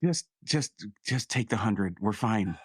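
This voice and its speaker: A man's voice: on the low side, animated, a little slow, husky, no echo, studio quality. A man talking casually as a tabletop game player and show announcer. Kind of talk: casual, improvised talk.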